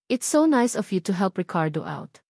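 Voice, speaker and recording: A woman's voice: mid-range voice, very animated, moderate pace, a little mellow, no echo. Storybook villainess, played by a female narrator: jealous, polished, pointed emphasis, sugary menace, dry sound. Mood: condescending. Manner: pointed, emphatic, switching between languages. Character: snide, fake-sweet jealous woman, polished and threatening. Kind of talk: storybook drama dialogue.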